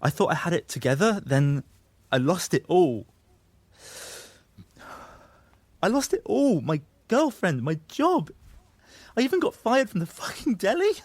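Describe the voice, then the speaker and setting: Troubled young man, played by a male actor, audio drama. Self-justifying, sweary, very lively intonation, dry studio sound. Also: medium pitch, normal speed, no echo, natural voice. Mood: anguished. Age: young adult. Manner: confessional, defensive, sweary. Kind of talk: naturalistic audio drama dialogue.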